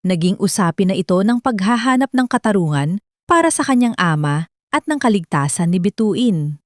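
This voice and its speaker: Female audiobook narrator for scripted drama, mid-pitched, very dry close recording. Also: big pitch swings, normal speed, dry recording.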